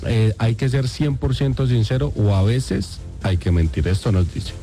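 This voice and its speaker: Mellow male radio co-host. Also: mid-range voice, expressive, normal speed, soft background music. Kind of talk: presenting listener comments on air.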